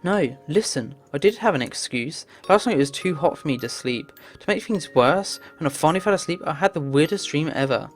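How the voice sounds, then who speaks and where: A woman's voice: low-pitched, lively intonation, fairly quick, hardly any pauses, soft background music. A woman reading a visual novel aloud as narration, stumbling now and then and adding casual asides.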